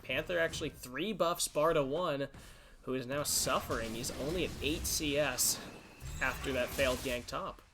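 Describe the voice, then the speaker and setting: A man casting an esports match with relaxed, hesitant commentary and easy laughter. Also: medium pitch, normal speed. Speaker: esports caster. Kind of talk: play-by-play commentary. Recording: faint background noise.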